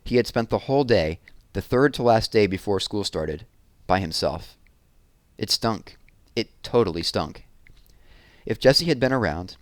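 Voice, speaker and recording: A man reading a children's chapter book aloud as narrator, in an expressive storytelling style. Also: low-pitched, no echo.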